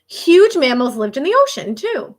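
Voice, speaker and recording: A woman reading a children's nonfiction book aloud like an elementary teacher, expressive and child-directed, pausing for casual asides. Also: high-pitched.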